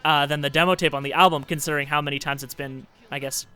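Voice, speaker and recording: A man with a nasal voice co-hosting a casual podcast about music, animated and quick to laugh. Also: fairly high pitch, clear, resonant tone. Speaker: podcast co-host.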